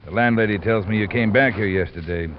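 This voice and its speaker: Casting: male actor as suspicious, bossy American sergeant, low and gruff, muffled golden-age radio sound. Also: brisk, close to an everyday voice. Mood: irritated, threatening. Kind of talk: 1940s-50s radio crime acting. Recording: dull, faintly noisy old radio recording.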